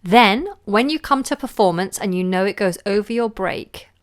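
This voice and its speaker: A woman vocal coach giving chatty live feedback, with a low voice and a lingering cold. She talks loosely, with fillers and lost words. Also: normal speed. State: recovering from a cold. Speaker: singing teacher.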